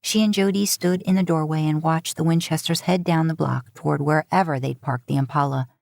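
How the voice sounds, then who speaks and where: Woman, deep register, scripted fiction narration, very dry sound. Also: steady tone, a little fast, vocal fry, a little mellow, no room sound. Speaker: podfic narrator.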